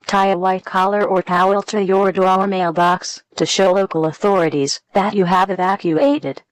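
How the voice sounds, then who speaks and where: A woman reading a scripted emergency broadcast announcement in a formal, measured, impersonal manner. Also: medium pitch, fairly even intonation, smooth, rough recording.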